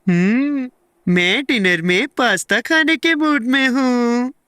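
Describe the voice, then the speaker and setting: Slow-talking cheerful little cartoon boy. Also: fairly high pitch, fairly bright tone, slight background noise. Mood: content. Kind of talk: Hindi cartoon dub acting.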